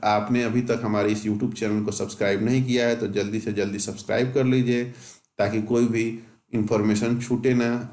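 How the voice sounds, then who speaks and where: A man's voice: lowish voice, a little mellow. A man with a North Indian accent explaining details in an informal, instructional way, as a coaching teacher. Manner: instructional, often asks a question then answers it. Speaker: exam coaching teacher and channel host. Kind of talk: informal explanatory lesson, reading out details with comments. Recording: echoey, roomy home-made recording.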